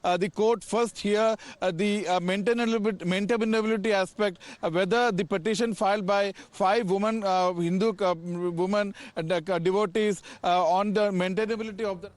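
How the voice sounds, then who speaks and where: Male field reporter, Indian English, high voice, very dry sound, halting delivery with repeated words. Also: steady tone, no echo. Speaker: news reporter. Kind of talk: live unscripted news report.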